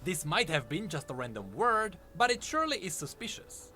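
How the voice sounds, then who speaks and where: Male storyteller for scripted fantasy lore, fairly high voice, dramatic and lively delivery over light music. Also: fairly quick. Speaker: lore narrator. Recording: music under the narration. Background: background music.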